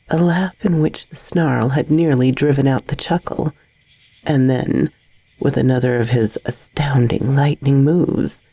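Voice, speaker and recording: A woman reading a gothic bedtime story as scripted narration, in a husky, breathy voice with a measured, dramatic delivery. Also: a bass voice, warm tone, poor audio.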